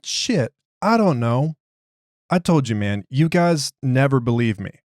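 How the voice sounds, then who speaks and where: A man's voice: mid-range voice, sing-song, measured pace, a little mellow, no echo, studio quality. A man voicing an agitated, streetwise prisoner who argues his case in slangy, ungrammatical, exasperated speech. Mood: frustrated. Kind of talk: dramatized interview log.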